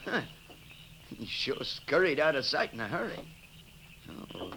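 An actor playing a grumbling, excitable sheriff's deputy in a radio western. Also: male voice, fairly high pitch, a little slow, heavily acted. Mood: flustered. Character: grumbling, flustered male frontier lawman's deputy. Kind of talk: 1950s radio western acting. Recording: very noisy 1950s radio recording.

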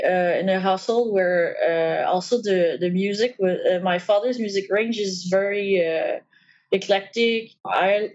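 A woman's voice: mid-range voice, a little mellow, lo-fi sound. A young woman from Quebec with a Quebec French accent, a native French speaker, talking as a podcast guest in a thoughtful, hesitant way with frequent fillers. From Quebec, Canada. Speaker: podcast guest, PhD student. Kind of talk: casual interview talk.

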